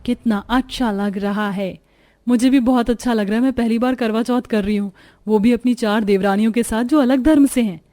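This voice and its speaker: Eager high-voiced young daughter-in-law, acted by a woman. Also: fairly quick, dark tone, lightly acted. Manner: respectful, earnest. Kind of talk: cartoon story dialogue.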